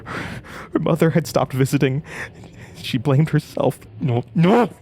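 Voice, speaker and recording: A man's voice: fairly high pitch, animated, slow pace, dark tone, a little hiss or hum. A man playing a haunted narrator in a horror audio drama, stammering and repeating his words as he recounts his story. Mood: distraught, guilty. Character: haunted, panicky young male radio-show narrator recounting horrors.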